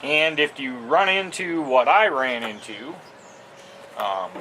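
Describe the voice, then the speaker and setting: A man giving a casual, unscripted product demo outdoors, talking in a plain-spoken, halting way. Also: medium pitch, big pitch swings, sharp tone. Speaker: amateur product reviewer. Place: outdoors on a porch. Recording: noisy outdoor recording with ambient sounds.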